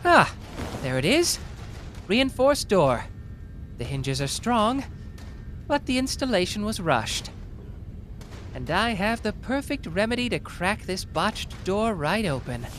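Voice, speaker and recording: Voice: mid-range voice, big pitch swings, takes it slowly, long pauses, a bit bright, slight background noise. A performer playing a cocky, playful young male genius detective in an anime roleplay, taunting and analytical in a theatrical way. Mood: musing, calculating. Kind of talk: anime roleplay audio drama, deduction monologue.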